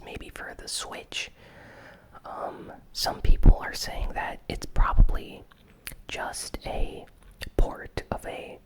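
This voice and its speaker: A host whispering an ASMR gaming news recap in a breathy, unvoiced, hushed and hesitant, unscripted way. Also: poor audio.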